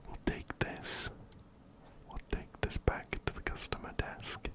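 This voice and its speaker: A man whispering softly and breathily in an ASMR gameplay commentary, close up to a binaural microphone, unhurried and often repeating his words. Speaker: ASMR gaming video host. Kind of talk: ASMR let's play commentary. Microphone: close-up binaural microphone, ear to ear.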